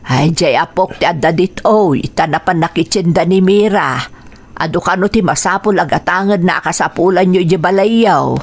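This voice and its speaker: The low-pitched grandmother in an Ilocano radio soap, standing in the kitchen, drawing out her sighing words as she looks after everyone. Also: normal speed. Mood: gently chiding. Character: older grandmother, caring and defensive of her daughter. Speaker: radio drama actor. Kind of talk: radio drama acting.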